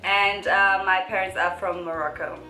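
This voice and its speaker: A woman's voice: medium pitch, fairly even intonation, normal speed, sharp tone, roomy sound, soft background music, poor audio. A woman in her twenties from Germany, hosting her own travel vlog in casual, giggly chat with lots of fillers. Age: 20s. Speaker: vlogger, channel host.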